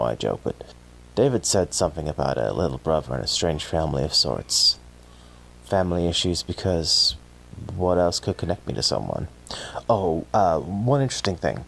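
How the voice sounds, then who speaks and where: A man's voice: a bass voice, vocal fry, slight background noise, full character voice, poor audio. A man playing an anxious, obsessive young horror fan in a found-footage audio drama, speaking in a hesitant, rambling way.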